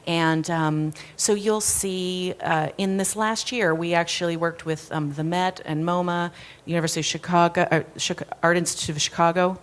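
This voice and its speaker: A woman answering an audience question on a conference panel, thoughtful and fluent with occasional restarts. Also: on the low side. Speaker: foundation executive director. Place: conference stage.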